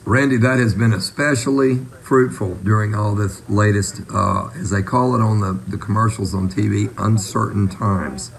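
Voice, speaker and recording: A man's voice: fairly low pitch, fairly even intonation, moderate pace, gravelly, few pauses. A man casually hosting an amateur radio net as its controller.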